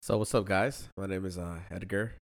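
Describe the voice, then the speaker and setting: A man's voice: low voice, steady tone, normal speed. A man in his thirties from Chicago, co-hosting a casual podcast, joking and dropping bro and dude, sounding worn out from little sleep. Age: 30s. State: tired from short sleep. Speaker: podcast co-host. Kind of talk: casual chat.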